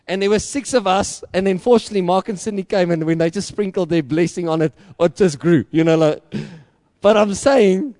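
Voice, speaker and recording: Passionate South African preacher, high voice. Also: male voice, a little fast, no echo, clean studio sound. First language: Afrikaans. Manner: passionate preaching, rising to loud emphatic peaks; repetitive rhetorical build-ups. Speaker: pastor. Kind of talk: sermon.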